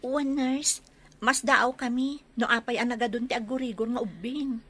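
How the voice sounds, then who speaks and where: Lady acting as polite young filipino village girl, bright highish voice, radio drama. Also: steady tone, normal speed, slight background noise, natural voice. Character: dutiful young village maiden, chieftain's polite daughter.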